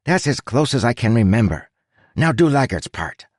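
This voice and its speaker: A man's voice: mid-range voice, sing-song, normal speed, no room sound, clean studio sound, voice pushed up. A man voicing a small, chatty male rat who is bossy, fussy and theatrical, in a storybook narration. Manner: fussy, dramatic, exasperated.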